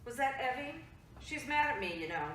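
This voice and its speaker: A woman's voice: sits high, expressive, brisk, a bit bright, heavy reverb, far from the mic, slight background noise. An actress reading a resentful, critical mother in a play reading over a video call. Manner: bitter, complaining.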